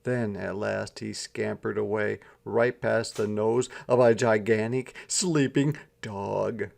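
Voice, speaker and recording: A man reading a children's story aloud as an expressive storyteller, with deliberate, dramatic phrasing. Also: on the low side, creaky. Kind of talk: expressive scripted storybook narration.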